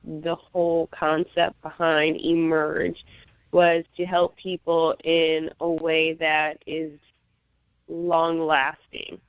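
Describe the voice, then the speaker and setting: A woman from Miami, Florida, a magazine publisher giving laid-back interview answers, laughing often and pausing with fillers. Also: deep voice, completely flat delivery, clear, resonant tone, no echo, muffled recording.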